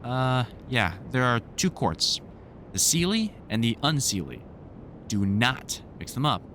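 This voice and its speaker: Casting: male actor as seasoned older monster hunter for audio roleplay, mid-pitched, very animated delivery, protective, dry-humoured mentor, faint rumble behind. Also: unhurried. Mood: wry, explaining. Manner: reassuring, wry, casually sweary. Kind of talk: one-sided audio roleplay, conversational comfort scene.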